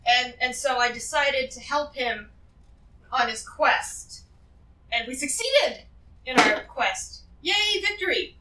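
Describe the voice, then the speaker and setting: A woman's voice: sits high, lively intonation, slow pace, pushed and bright, exaggerated voice. A woman voicing a nervous reformed villainess in a tabletop game, stammering and evasive as she pleads. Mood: sheepish, pleading. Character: sheepish, nervous reformed villainess talking her way out. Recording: echoey, noisy, distant group mic.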